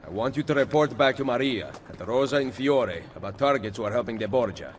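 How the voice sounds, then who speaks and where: A man's voice: mid-range voice, lively intonation, brisk, slight background noise, natural voice. An actor playing a composed, commanding male assassin in a video game cutscene. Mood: calm, firm.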